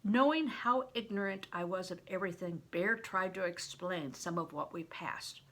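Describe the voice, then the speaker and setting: An older woman reading a children's novel aloud as expressive storytelling narration. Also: fairly low pitch, normal speed.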